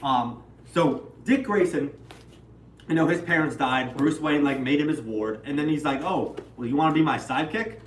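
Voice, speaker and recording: A young man with a slightly high voice talks with excited, rambling enthusiasm, swearing casually and slipping into quick voices for the characters he describes. He sounds distant from the mic, and the room adds a clear echo. A faint hum sits underneath. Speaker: livestream co-host. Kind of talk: casual chat.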